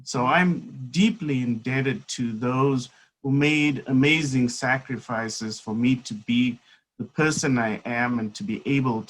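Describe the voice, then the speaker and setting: Guest speaker, male, 50s; very slow and measured, reflective storyteller. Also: mid-range voice, rough recording. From South Africa. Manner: measured and unhurried, with pauses and fillers. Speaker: guest speaker, seminary academic. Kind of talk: reflective personal storytelling in conversation.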